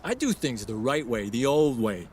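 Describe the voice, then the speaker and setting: A man's voice: medium pitch, big pitch swings, normal speed, a little mellow, slight background noise, lightly acted. An actor playing a proud, opinionated 1930s craftsman in a period audio drama, talking in a blustery, emphatic, long-winded way. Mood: proud, dismissive. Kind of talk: period radio drama acting.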